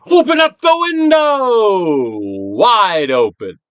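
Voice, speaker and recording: Male stage-actor narrator for a guided self-help reading. Grand, declamatory, very wide melodic range, drawn-out emphasis, recorded dry. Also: extremely high pitch, huge pitch swings, a bit bright, clear, resonant tone, dry recording. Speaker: audiobook reader, actor. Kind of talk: theatrical dramatic reading of a self-help book.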